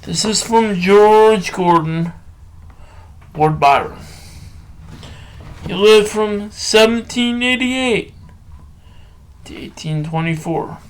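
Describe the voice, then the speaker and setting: A man talking and reading poetry solo as a podcast host, halting, with drawn-out phrases and long pauses. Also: sits high, clear, resonant tone. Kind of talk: rambling solo talk and poem reading.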